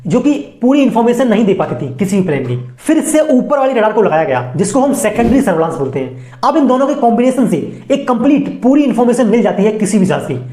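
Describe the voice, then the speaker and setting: A woman's voice: fairly high pitch, sing-song, fast, warm tone. A woman with an Indian accent narrating an informal educational voice-over in a chatty, colloquial way, addressing viewers directly with playful asides. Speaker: explainer video narrator. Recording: roomy, echoing voice-over.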